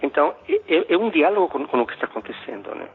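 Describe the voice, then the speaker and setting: A middle-aged man from Ecuador with a Spanish accent, a philosophy professor telling a story in a casual, halting way with many restarts and fillers. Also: fairly high pitch, big pitch swings, vocal fry, breathy edge. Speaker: philosophy professor, podcast guest. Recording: phone-like narrow sound.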